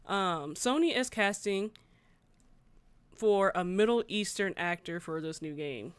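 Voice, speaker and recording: A woman's voice: mid-range voice, expressive, unhurried, clear, resonant tone, no room sound. A woman hosting a gaming livestream, chatting informally with viewers and reading news aloud in a conversational way. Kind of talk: casual livestream chat, reading articles aloud and reacting.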